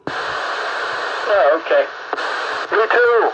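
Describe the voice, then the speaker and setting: A man with a gravelly voice chatting casually as a participant in an online radio group. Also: sits high, lively intonation, drawn-out words. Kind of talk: casual chat. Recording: dull radio-like audio, clear noise.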